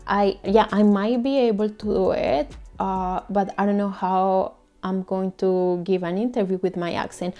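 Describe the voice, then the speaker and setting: A woman's voice: medium pitch, gravelly. A young woman from Colombia, speaking English with a Colombian Spanish accent, in an earnest, heartfelt personal monologue. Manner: earnest and heartfelt, soft and measured. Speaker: vlogger.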